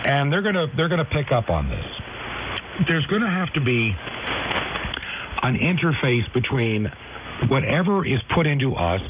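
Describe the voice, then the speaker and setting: A man hosting conversational talk radio, rambling along and asking rhetorical questions. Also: mid-range voice. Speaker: radio host. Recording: tinny, noisy shortwave radio band.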